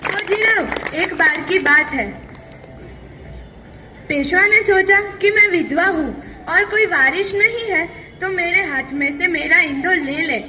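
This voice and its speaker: A woman's voice: extremely high pitch, slow, sharp tone, far from the mic, some chatter behind, a little hiss or hum, heavily acted. A woman playing a proud, resolute widowed Maratha warrior queen in a theatrical, declamatory, earnest monologue. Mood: proud, defiant. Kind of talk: theatrical one-person stage monologue.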